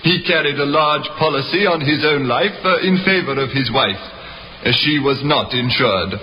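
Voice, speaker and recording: An actor playing a slightly pompous insurance manager, precise, formal and self-assured, in a 1950s radio drama on a noisy vintage recording. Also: male voice, medium pitch, expressive, normal speed, pushed and bright.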